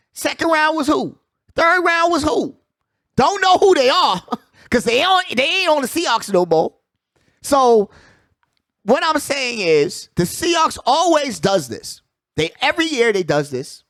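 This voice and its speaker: High-pitched, animated man talking sports. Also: a little slow, dry recording, studio quality. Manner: emphatic, repeats phrases, slangy asides. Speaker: sports talk show host. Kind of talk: casual sports debate and commentary.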